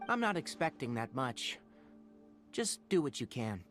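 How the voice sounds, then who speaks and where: An actor playing a clever young male forest ranger in a video game, measured, analytical and gently wry. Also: medium pitch, very animated. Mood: instructive. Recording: game music behind the voice.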